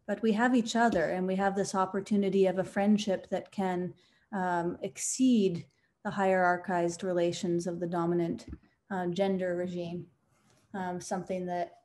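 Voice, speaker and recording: Mellow, flat-voiced woman reading a talk. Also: medium pitch. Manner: measured, quoting philosophers. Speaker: conference panelist.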